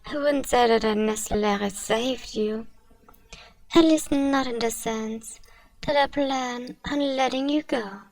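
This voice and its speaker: A woman playing a gentle, wealthy, possessive vampire in a soothing, genteel ASMR roleplay. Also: a little high, a little slow, gravelly, recorded from a distance, poor audio. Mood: candid, gentle. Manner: soothing, genteel, addresses listener as dear. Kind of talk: ASMR roleplay, soft scripted acting.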